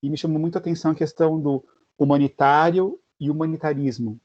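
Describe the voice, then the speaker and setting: A man's voice: a little high, fairly even intonation, fast talker, darkish tone, slight background noise. A young Brazilian man, a journalist and graduate student, asking an earnest question over a video call, searching for words with many small restarts. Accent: Brazilian Portuguese. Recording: dry, dull lo-fi video call audio.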